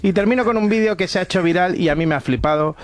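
A man's voice: high-pitched, racing. A man with an accent from Spain co-hosting a radio show with casual comic banter and commentary. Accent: Spanish from Spain.

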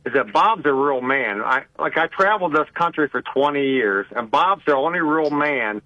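An older man with a deep voice, calling in to a talk radio show and chatting in a blunt, rambling, folksy way. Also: lively intonation, normal speed, bright, forceful tone, no room sound. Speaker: caller. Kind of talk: casual call-in chat. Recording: tinny phone line.

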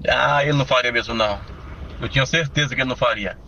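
A man's voice: mid-range voice, fast talker, gravelly, pushed and bright, slight background noise. A man with a Brazilian accent giving a casual opinion as a radio listener. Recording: dull lo-fi voice message sound.

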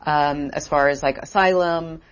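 A woman with a notably low voice hosts the interview, asking her questions haltingly with many fillers. The sound is dull and lo-fi. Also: fairly even intonation. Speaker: interview host. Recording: dull lo-fi audio.

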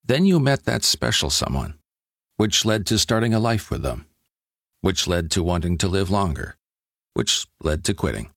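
Man, ad voice-over, very low, gravelly, dry booth sound. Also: very animated, normal speed, a bit bright, no echo. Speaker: ad narrator. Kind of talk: scripted public service ad.

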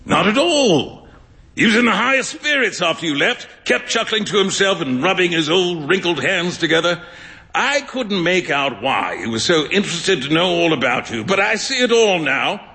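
Male actor doing a teasing painter friend: bright, lively, laughing at him, with a reverberant stage sound. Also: sits high, moderate pace, pitched above the performer's own voice, full character voice. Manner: jovial, mocking. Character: teasing well-off male painter, amused and breezy. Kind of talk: live dramatic reading. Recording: long stage reverb in a hall.

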